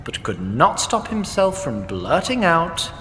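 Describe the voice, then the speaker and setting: A man's voice: fairly high pitch, wildly swooping pitch, measured pace, bright, forceful tone, in a large hall, slight background noise. Wry, formal male storyteller. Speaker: narrator. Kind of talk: scripted narration.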